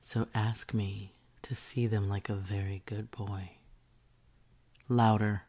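A woman doing an intimate whispered audio roleplay, teasing and commanding in a breathy whisper close to the mic. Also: extremely low pitch, flat delivery, a little slow, warm tone.

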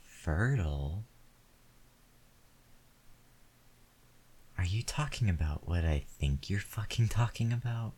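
A man's voice: low voice, animated, moderate pace, a little mellow, a little hiss or hum. An actor in a one-sided audio roleplay, playing a crude, hot-tempered man who curses constantly and turns frightened and pleading. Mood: nervous. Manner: heavy cursing, snarling.

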